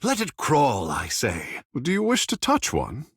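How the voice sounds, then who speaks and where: Flamboyant husky young demigod, swooping intonation. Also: male voice, mid-range voice, wildly swooping pitch, measured pace, a bit bright, no room sound, clean studio sound, exaggerated voice. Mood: playful, teasing. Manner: theatrical, lofty formal phrasing. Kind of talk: scripted fantasy video game dialogue.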